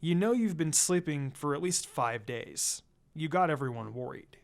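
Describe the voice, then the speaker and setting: A reader voicing a brooding, protective teenage boy fighter in a low-key, gentle manner. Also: animated, moderate pace, creaky. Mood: concerned.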